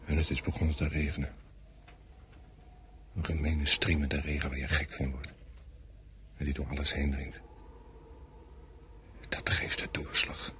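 An actor playing a bitter, weary trench soldier muttering quietly in a radio drama. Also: male voice, very deep voice, completely flat delivery, unhurried, long pauses, slight background noise.

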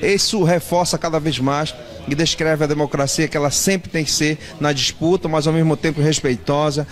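A man's voice: on the high side, steady tone, normal speed. A man answering an interview in formal, rhetorical phrases. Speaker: campaign coordinator, academic. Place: indoor room. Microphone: handheld reporter microphone.

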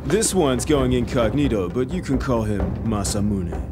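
Man acting flippant, mid-pitched mercenary. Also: sing-song, normal speed, close to an everyday voice. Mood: teasing. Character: cool, flippant mercenary gunman. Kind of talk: English game dub acting. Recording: music behind dialogue.

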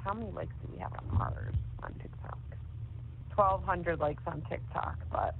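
A woman's voice: on the low side, big pitch swings, normal speed, vocal fry. Woman on a noisy phone line. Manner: frequent swearing. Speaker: caller on a jail phone call. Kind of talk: casual chat. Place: phone call. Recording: noisy narrowband telephone line.

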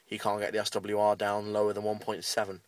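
British male hobbyist vlogger, lowish and very monotone, casual rambling update, slightly off-mic. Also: fast talker, smooth, no echo, recorded from a distance. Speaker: amateur radio hobbyist making a video update. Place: inside a parked car.

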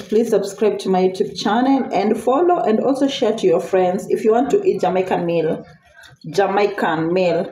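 A woman's voice: medium pitch, warm tone. A woman with a Kenyan accent hosting a casual cooking vlog, chatty, repeating phrases and mixing in Swahili words. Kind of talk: casual cooking tutorial chat. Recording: echoey room, clear background noise, clicks.

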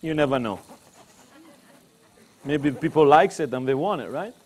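A man's voice: on the high side, animated, unhurried, darkish tone. Chatty Italian-accented man cooking. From Tuscany, Italy. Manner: chatty, broken English mixed with Italian interjections. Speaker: chef and cooking demonstrator. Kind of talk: live cooking demonstration. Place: kitchen demonstration set.

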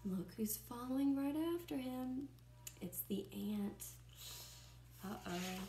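A woman in her twenties reads a picture book aloud like a teacher to young children, expressively, pausing to comment and ask questions. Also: fairly high pitch, dark tone, slight background noise. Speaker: first-grade teacher reading aloud. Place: small room at home, indoors by a window. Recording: roomy, echoing home recording.

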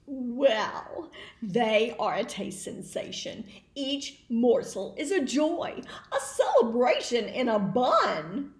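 A woman voicing a possessive, excitable pigeon from a picture book, dramatic, drawn-out and easily exasperated. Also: fairly high pitch, roomy sound. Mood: gleeful. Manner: dramatic, drawn-out, exclaiming delivery. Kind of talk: picture book read aloud.

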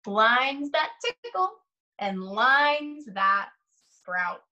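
A woman's voice: sits high, big pitch swings, very slow, pushed and bright, highs cut off. A young adult woman, an art teacher, leading a read-aloud lesson for children with simple questions and prompts.

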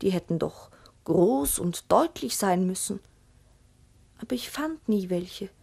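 A woman's voice: medium pitch, animated, slow, vocal fry, mellow, slightly rough, exaggerated voice. An actress playing an innocent, earnest young farm girl, telling her story simply and childlike in a 1960s German radio play. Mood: earnest, wistful.

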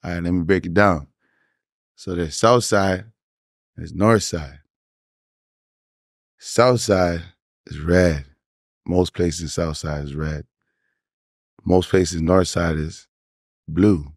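A man with a Toronto accent, a podcast guest telling his story in a laid-back, animated way with slang asides. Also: sits low, takes it slowly, long pauses, no echo, clean studio sound. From Canada. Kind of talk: casual interview chat.

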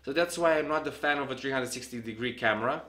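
Young man, bright tense voice, casual tutorial, small home room, desk camera. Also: mid-range voice, fast talker. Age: young adult. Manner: explains hands-on, demonstrating gear while talking. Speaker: vlogger. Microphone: camera on a desk, facing him.

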